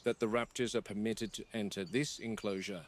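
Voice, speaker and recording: An actor playing a serious game warden who narrates a formal, objective log in a found-footage horror film. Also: male voice, fairly low pitch, fairly even intonation, a little fast, slight background noise, close to an everyday voice. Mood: measured, grave. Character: measured, serious male game warden documenting dangerous predators.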